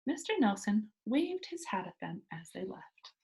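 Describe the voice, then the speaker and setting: A woman reading a children's storybook aloud in an expressive narrating style. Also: mid-range voice, unhurried, creaky, a little hiss or hum. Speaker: storybook reader.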